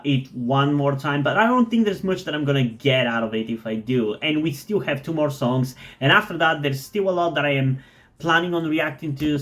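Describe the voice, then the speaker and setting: A non-native English-speaking man giving a thoughtful, rambling reaction to a song, full of fillers and restarts. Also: a little high. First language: not English. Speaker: music reactor. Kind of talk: casual music reaction commentary.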